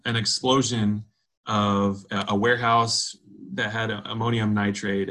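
Male host, bright and friendly, casual pauses and restarts. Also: lowish voice. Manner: friendly, halting with fillers. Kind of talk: video call interview.

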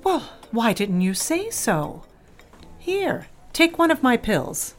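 A woman's voice: on the high side, sing-song, slow pace, soft background music. An actress playing a genteel, controlling middle-aged society mother in an audio drama, fussy and pointed, breezily dismissive. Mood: chiding, fussing. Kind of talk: scripted audio drama acting.

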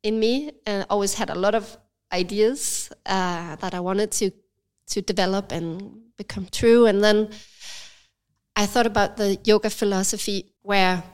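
A woman with a Danish accent and a slight cold, talking thoughtfully in an interview and often pausing with fillers. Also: medium pitch, fairly even intonation, smooth. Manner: thoughtful, hesitant with frequent fillers. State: has a cold, slightly stuffy. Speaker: cafe and yoga studio owner, guest. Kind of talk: casual interview conversation.

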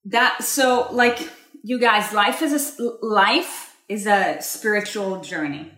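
A woman's voice: mid-range voice, takes it slowly, fairly bright tone, reverberant. A woman from Israel co-hosting a casual talk about spirituality, emphatic and repeating herself as she addresses listeners directly.

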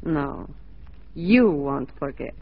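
A woman's voice: sits low, expressive, slow pace, heavy background noise, full character voice. An actress playing a jealous, lovestruck young frontier woman in broken English, pleading and goading in 1940s radio style. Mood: sullen, resolved. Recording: tinny, band-limited 1940s radio recording.

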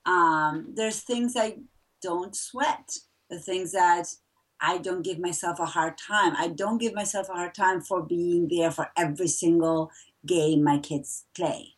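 A woman's voice: fairly low pitch, steady tone, a little slow, distant mic. A middle-aged woman from Argentina, a mother of four, chatting as an interview guest with frequent laughs and fillers. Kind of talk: casual interview chat.

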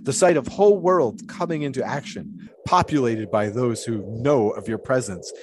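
A man's voice: medium pitch, normal speed, noisy background, natural voice. An actor playing a grandiloquent, philosophical 1920s showman in an audio drama, musing in wordy, theatrical speeches. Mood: wistful, musing.

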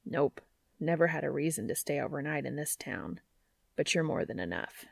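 A woman voicing a calm, reassuring and quietly bossy man in an audiobook-style story, measured and wry. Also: low-pitched, creaky, dry recording, voice pushed down, full character voice. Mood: gentle, wry.

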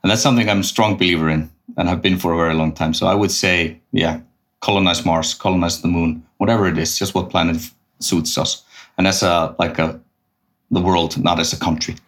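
Deep low voice guy, podcast guest, kinda halting, restarts a lot while he thinks. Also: steady tone, fairly bright tone. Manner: hesitant, restarts often, thinks aloud. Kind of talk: casual business interview.

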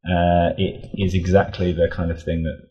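Very low, mellow, husky man. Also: little pitch movement, normal speed, highs cut off. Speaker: audio drama creator and sound editor, interview guest. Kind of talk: casual interview chat.

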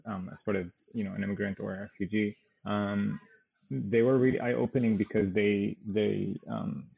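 Gravelly, husky man on a phone-like line. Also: on the low side, level tone, moderate pace. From Damascus, Syria. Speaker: podcast guest, comedian, artist and writer. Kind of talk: casual interview conversation. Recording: tinny, band-limited phone-like sound.

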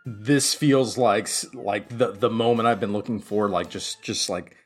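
Halting, casual male tabletop player. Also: fairly low pitch, fairly even intonation, slightly rough, faint music behind. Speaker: player in a tabletop role-playing game. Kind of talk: casual improvised game talk.

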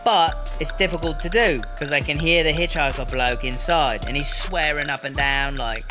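A man's voice: fairly high pitch, normal speed, natural voice. A man playing a brash, chatty, foul-mouthed prison inmate with a British accent in a comedy audio drama. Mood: gossipy, incredulous. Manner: rambling, slangy, sweary. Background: music.

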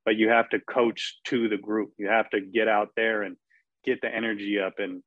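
Energetic coach on a muffled line. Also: male voice, on the low side, moderate pace, no echo. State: losing his voice. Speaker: performance coach. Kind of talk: panel discussion. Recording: dull, dry lo-fi audio.

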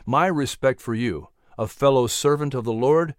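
A man playing a gracious young preacher in a storybook, speaking plainly, gently and sincerely. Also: medium pitch, normal speed, no echo, studio quality, lightly acted. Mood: humble, earnest. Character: humble, earnest, gracious young male preacher.

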